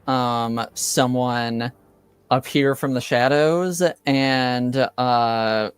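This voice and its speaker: A man running a tabletop role-playing game, talking in a halting way with lots of fillers. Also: mid-range voice, fairly even intonation, smooth, no echo. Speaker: game master.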